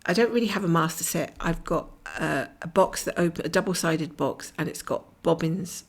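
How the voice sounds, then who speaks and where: A middle-aged woman from Scotland talks on and on as she stitches at home, breaking into soft whispers along the way. Her voice runs a little low and gravelly, and it is husky and croaky from a blocked nose. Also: normal speed. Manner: rambling, chatty, often drops to a whisper. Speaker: cross-stitch vlogger. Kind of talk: casual stitch-along chat. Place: at home, camera over her stitching hoop.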